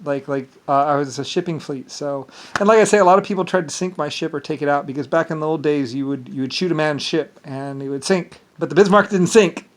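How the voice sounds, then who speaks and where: A man's voice: on the high side, a little fast. Rambling man with an Irish accent. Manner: rambling, digressive, meandering, self-assured. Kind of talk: rambling solo monologue.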